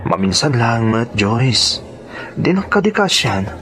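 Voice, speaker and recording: A man's voice: mid-range voice, sing-song, a little slow, fairly bright tone. A man playing a pushy suitor who pleads and insists, in a radio drama style. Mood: pleading. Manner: insistent, coaxing.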